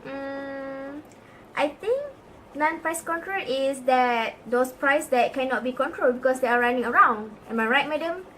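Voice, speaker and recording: A woman's voice: high voice, normal speed, bright, forceful tone, slight background noise. A woman playing a cheeky, overconfident schoolgirl in an amateur puppet show. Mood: bluffing. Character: cheeky, overconfident schoolgirl who has not studied.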